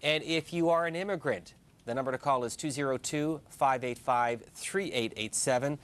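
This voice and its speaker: A man hosting a live broadcast call-in programme, announcing and putting questions in a measured way. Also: mid-range voice, fairly bright tone. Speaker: call-in show host.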